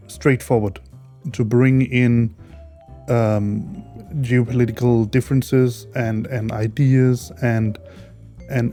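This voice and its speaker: A Danish-accented man talks to his viewers in a soft, mellow tone, weighing each word and often starting his sentences over. He sounds a little tired, as if it were very early in the morning. Quiet music plays faintly behind him. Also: mid-range voice. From Denmark. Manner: hesitant, many restarts and fillers, careful wording. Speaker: channel host. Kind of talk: casual solo commentary vlog.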